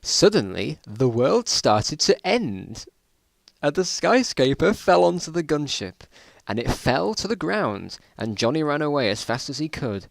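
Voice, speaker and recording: A man's voice: fairly high pitch, big pitch swings, measured pace, vocal fry, no room sound. A British man reading stories aloud in an informal dramatic style, breaking off with amused, incredulous asides. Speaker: reader of his own old school stories.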